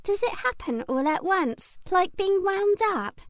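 A woman's voice: very high voice, lively intonation, measured pace, no room sound, studio quality, voice pushed up. A woman voicing a small, innocent young toy rabbit in a storybook, asking questions with childlike wonder. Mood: curious, timid. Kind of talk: storybook audiobook character reading.

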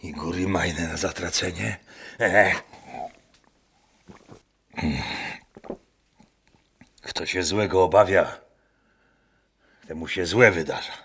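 Casting: pious old Masurian villager, deep and husky, rustic radio-play monologue, unhurried. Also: male voice, fairly even intonation, a bit bright, full character voice. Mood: foreboding. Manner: praying, musing, rustic. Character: old pious Masurian peasant man, haunted by a dream. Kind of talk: radio drama monologue in folk dialect. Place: performed live in a wooden barn.

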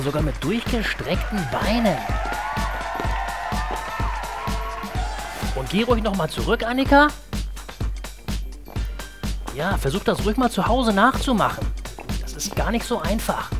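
A woman voicing an excitable, playful, cheese-loving mouse who hosts a children's show with an animated, eager delivery. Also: medium pitch, unhurried, pauses often. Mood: cheerful, teasing. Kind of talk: lively children's show presenting. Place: small indoor TV studio set. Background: background music.

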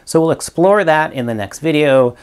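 A man's voice: fairly high pitch, animated, moderate pace, a little mellow, clean tone. A man in his fifties, a physics professor recording a video lecture in a small room, explaining with toy props and thinking aloud with ums. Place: small room in front of a green wall.

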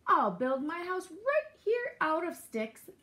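A woman's voice: very high-pitched, big pitch swings, extremely slow, voice pushed up, full character voice. A woman voicing a carefree, easily frightened young male pig from a storybook, squealing and exclaiming. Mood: excited. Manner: squealing, exclaiming, stammering when scared.

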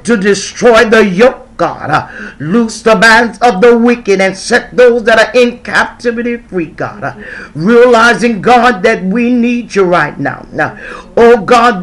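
A woman leads a fervent prayer at an unhurried, drawn-out pace. She chants her phrases, filling each gap with "uh" and "oh God", and builds from hushed pleading to crying shouts. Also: on the high side. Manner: chanting cadence, constant "uh" and "oh God" fillers, rising to shouts and cries. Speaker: prayer leader.

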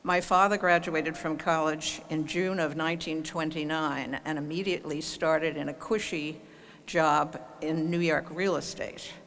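Gravelly older woman giving a speech. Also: sits low, fairly even intonation, a bit bright, a little hiss or hum. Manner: measured, anecdotal storytelling. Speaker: commencement speaker. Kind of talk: formal commencement speech. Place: large auditorium stage. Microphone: podium mic on stand.

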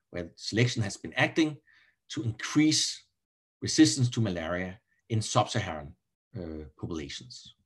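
Male professor, middle-aged, Danish accent, slightly rough voice, halting explanatory online lecture delivery. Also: lowish voice. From Denmark. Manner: halting, self-correcting, repeats words. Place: indoor room, on a video call.

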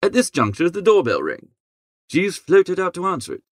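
A man reading an audiobook as a chatty, breezy young English gentleman, full of jaunty old-fashioned upper-class slang. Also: high voice, wildly swooping pitch, moderate pace, no room sound, clean studio sound, full character voice. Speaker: narrator. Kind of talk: scripted first-person audiobook narration.

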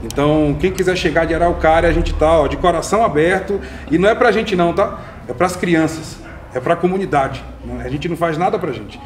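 A man's voice: on the high side, fairly quick, a little hiss or hum. A young man from Salvador hosting a casual panel chat, talking earnestly and tagging his points with "né". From Salvador, Bahia, Brazil. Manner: earnest, often tags lines with "né". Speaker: podcast host. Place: community center room, seated on sofa with panel.

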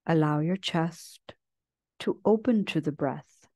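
Slow, low-pitched woman guiding meditation. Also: no room sound, studio quality. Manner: gentle, unhurried with long pauses. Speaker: meditation teacher. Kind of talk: guided meditation, slow soothing instruction.

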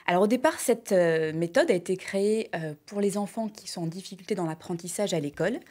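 A woman in her thirties, a health and wellness columnist on a morning TV show, explaining and demonstrating simple exercises in a clear, upbeat way, wearing a lapel mic in a bright studio. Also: fairly low pitch, brisk. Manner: clear, upbeat explainer, lists items with steady rhythm.

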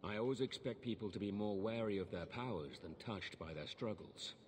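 An actor playing a guarded, authoritative templar officer in formal game dialogue. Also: male voice, on the low side, moderate pace, a little mellow, big-room sound, a little hiss or hum, close to an everyday voice.

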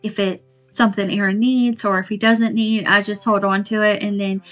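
A woman's voice: medium pitch, little pitch movement, moderate pace. A woman, chatting casually to camera in her kitchen about homemaking, rambling with fillers. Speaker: homemaking vlogger. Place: small home kitchen, indoors. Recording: soft background music.

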